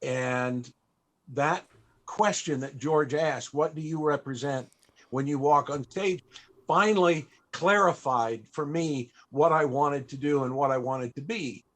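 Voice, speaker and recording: A man hosting a panel, telling a personal story in a halting, reflective and emotional way. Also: fairly high pitch, a bit bright. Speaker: panel host and entertainer. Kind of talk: storytelling at a live interview panel.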